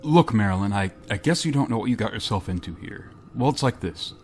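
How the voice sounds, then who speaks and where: A man playing a grave, reluctant spaceship pilot in a radio drama, speaking in a solemn, halting way. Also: on the low side, animated, a little mellow, faint music behind. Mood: grave, official. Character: grave, reluctant male spaceship pilot bearing terrible news. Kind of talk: radio drama acting with narration.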